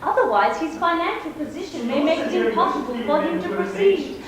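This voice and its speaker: A scheming courtier lady speaks high and smoothly persuasive, leaning on quiet insinuation. Her voice rings in a very echoey theatre with an audience murmuring. She sounds sure of her leverage. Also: animated, a little fast, a loud crowd. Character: scheming woman courtier lobbying for a composer. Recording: very echoey live stage with audience.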